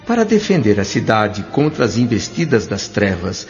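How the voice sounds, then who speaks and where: A man with a Brazilian accent playing a thoughtful doctor who narrates his memories in an audio drama, in a measured, literary way. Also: fairly low pitch, big pitch swings. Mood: solemn, reflective. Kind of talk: scripted first-person audio drama narration. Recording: dull lo-fi audio with music underneath.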